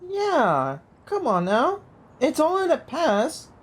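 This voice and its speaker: Let's-play commentator: slangy, offhand reactions with animated, swooping intonation. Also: high voice, slow, slight background noise. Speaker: gaming YouTuber.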